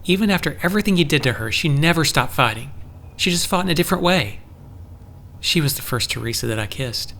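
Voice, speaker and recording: A man's voice: on the high side, animated, quick pace, gravelly, pushed and bright, exaggerated voice. A man playing an earnest, troubled, lovelorn farm resident in an audio drama, speaking confidingly. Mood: troubled, regretful. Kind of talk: scripted audio drama acting.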